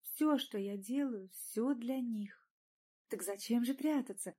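A woman's voice: fairly high pitch, takes it slowly, dark tone. A woman voicing a vain, coquettish opera diva in an audiobook, with a chirping, dramatic, affected delivery. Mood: gushing, coquettish. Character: vain, coquettish, theatrical female opera diva, gushing and self-pitying. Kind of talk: audiobook character voice.

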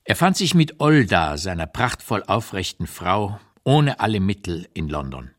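A man reading as an old writer looking back on wartime London in a measured, deliberate literary radio narration. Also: deep voice, sing-song, heavily acted. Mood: reflective, unsparing. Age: older. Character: old male writer recalling wartime London, unsparing, reflective.

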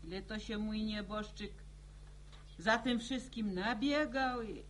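An actress playing a pious middle-aged village widow, folksy and full of sighs and exclamations in a radio drama. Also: female voice, mid-range voice, slow, fairly bright tone, slight background noise. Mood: sighing, weary. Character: sighing, pious widowed village farm woman.

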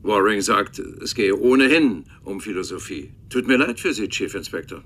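Bright-voiced haughty professor. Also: male voice, lowish voice, expressive, normal speed. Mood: testy, defensive. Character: defensive, haughty male Cambridge philosophy professor. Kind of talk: radio drama dialogue.

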